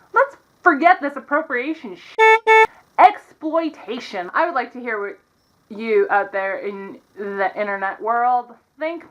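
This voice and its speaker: A woman's voice: fairly high pitch, sharp tone, poor audio. A woman hosting a web show, giving sardonic commentary to her audience in a theatrical, tongue-in-cheek way. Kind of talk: sardonic video commentary to camera.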